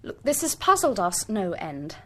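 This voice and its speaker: An actress playing a haughty, indignant young English tourist in a radio drama, clipped and defensive in manner. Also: female voice, medium pitch, huge pitch swings, normal speed, a little hiss or hum.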